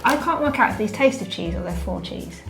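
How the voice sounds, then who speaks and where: A woman trades quick, dry remarks during a snack tasting. She talks fast. Music plays behind her voice. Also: mid-range voice, animated, vocal fry, a bit bright. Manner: quick and dryly witty. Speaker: co-host. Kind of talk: casual snack-tasting chat. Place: home room. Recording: background music.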